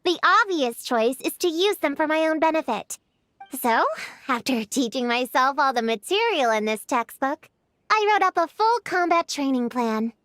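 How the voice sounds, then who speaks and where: High-voiced proud young witch girl. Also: expressive, moderate pace, a bit bright. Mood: scornful, boastful. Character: bookish young witch girl, stubborn, proud, self-serious. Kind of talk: anime-style video game character acting.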